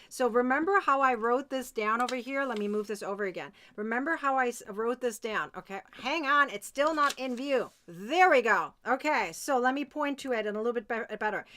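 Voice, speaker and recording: A woman's voice: on the high side, bright, forceful tone. A woman hosting a live step-by-step crochet tutorial, chatty and insistent, often checking that listeners follow. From Germany.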